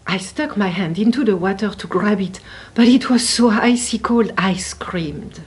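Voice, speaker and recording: A woman reading a children's story aloud expressively, with deliberate, dramatic emphasis on key words. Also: medium pitch, lively intonation, a little hiss or hum. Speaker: picture book reader at a library.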